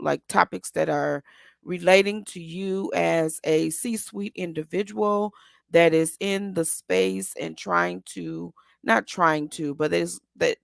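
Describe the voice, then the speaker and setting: A woman hosting her own show, hoarse today, giving a rambling, encouraging talk full of ums and restarts. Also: fairly low pitch, dry recording, clean studio sound. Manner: encouraging, asks listeners to agree. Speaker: host. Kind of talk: casual motivational talk.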